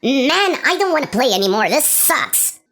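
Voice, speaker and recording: A woman playing a dazed, childlike, drug-addled spaceship crewman in a comic radio play, with halting stoner talk. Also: high voice, very animated, fairly bright tone, full character voice. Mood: whiny, confused.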